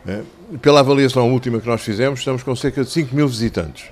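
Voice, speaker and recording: An older man with a European Portuguese accent and a slightly hoarse voice, talking to schoolchildren as an author, conversational and didactic, repeating phrases for emphasis into a handheld microphone. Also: mid-range voice, fast. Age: 60s or older. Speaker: children's author. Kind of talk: talking and telling stories to schoolchildren. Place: covered outdoor tent in a town square.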